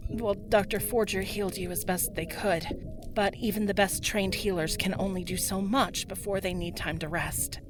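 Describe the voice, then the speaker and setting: A woman's voice: medium pitch, fairly even intonation, normal speed, music in the background, lightly acted. A woman voicing a caring but firm tavern woman, motherly and soothing, in a fantasy roleplay audio drama. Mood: gentle, soothing. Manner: motherly, soothing, gently authoritative. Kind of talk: fantasy roleplay ASMR acting.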